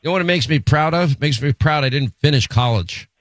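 A man's voice: on the high side, dry recording. A middle-aged man hosting a talk radio show, bantering brashly with his producer and taking calls. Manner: brash, quick, joking.

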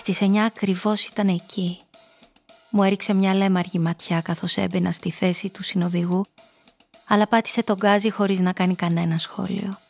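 An actress playing a calculating seductress who narrates her own story in a radio drama, measured and intimate. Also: mid-range voice, fairly even intonation, vocal fry, darkish tone, faint music behind. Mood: self-satisfied, seductive.

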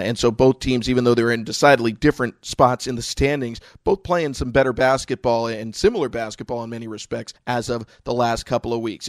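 A man's voice: medium pitch, no echo, clean studio sound. A man hosting a sports podcast in an upbeat, polished announcer's delivery. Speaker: podcast host and sports broadcaster.

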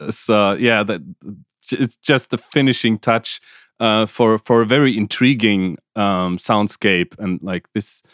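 A man's voice: fairly low pitch, takes it slowly, fairly bright tone, no echo, studio quality. A man co-hosting a music podcast, speaking casually, hesitating and repeating words, often laughing. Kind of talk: casual chat.

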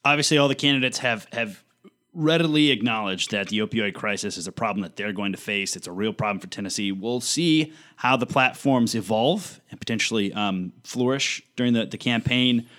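A man's voice: on the low side, fairly quick, fairly bright tone. A man hosting a political talk show, framing long questions and repeating words as he goes. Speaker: radio talk show host.

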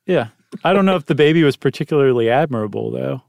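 A man's voice: medium pitch, lively intonation, fast talker, vocal fry, darkish tone, no echo, clean studio sound. A man co-hosting a podcast in a playful, jokey, chatty way. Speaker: podcast co-host. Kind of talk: casual podcast chat.

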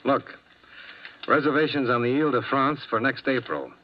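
A man's voice: mid-range voice, steady tone, moderate pace, natural voice. An actor playing a hard-boiled male insurance investigator, who narrates and questions people in a clipped, dry way in a 1950s radio drama. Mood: probing. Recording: muffled old radio broadcast.

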